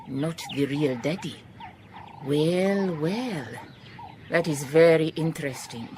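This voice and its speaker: An actress playing a calm, shrewd, kindly woman detective in a radio drama, measured and politely firm, with a Southern African accent. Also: sits low, slight background noise. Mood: dryly stern. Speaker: lead actor in a radio drama. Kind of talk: radio drama acting with reflective first-person narration.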